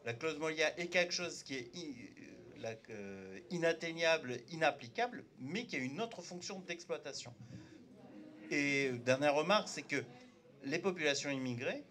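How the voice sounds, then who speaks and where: A man's voice: fairly high pitch, expressive, normal speed, a bit bright. A man from Turkey whose first language is Turkish, speaking up from the audience at a debate, hesitant with many fillers and restarts. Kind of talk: spontaneous debate remark.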